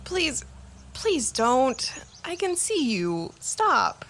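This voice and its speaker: A woman's voice: sits high, big pitch swings, very slow, a bit bright, full character voice. A woman playing a broke, persistent tiefling adventurer with a wheedling manner in a fantasy audio drama. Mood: pleading, insistent.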